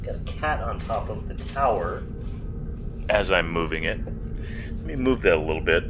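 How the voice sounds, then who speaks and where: A man with a lowish voice talks casually through a video game as its host. His pitch moves around a lot as he rambles and mutters to himself. Loud noise runs steadily behind him. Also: normal speed, dull audio. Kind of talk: casual rambling gameplay commentary. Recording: loud steady background noise, rumbling hum.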